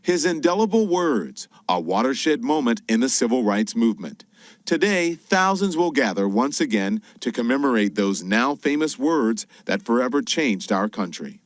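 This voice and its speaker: A male news anchor reads a report with lively, rising and falling pitch and crisp emphasis. His voice sits in a middle register. The studio sound is close and dry. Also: normal speed, no echo. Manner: crisp, emphatic newsreader delivery. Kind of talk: broadcast news narration.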